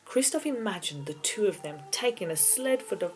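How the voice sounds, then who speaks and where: A woman's voice: mid-range voice, expressive, moderate pace. A woman narrating a children's novel aloud in a storybook reading style, as an audiobook reader. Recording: keyboard music behind the voice. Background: keyboard music.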